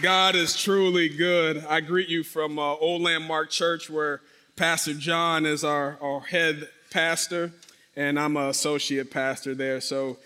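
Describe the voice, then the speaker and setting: Loud, energetic guest preacher, a man. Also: a little high, a little slow, a little hiss or hum. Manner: loud, energetic, calls out to the congregation. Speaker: associate pastor, guest speaker. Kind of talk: sermon with crowd interaction. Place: church sanctuary.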